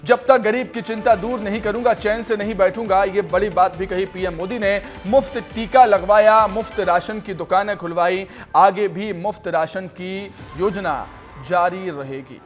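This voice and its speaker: A man's voice: sits high, normal speed, faint music behind. A man presents television news in an emphatic, declarative, scripted anchor style.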